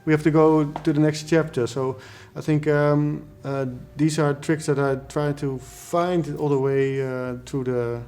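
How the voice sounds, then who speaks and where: A man in an interview explaining his craft as a composer, in halting short phrases. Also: fairly high pitch, little pitch movement, darkish tone. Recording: music behind the voice.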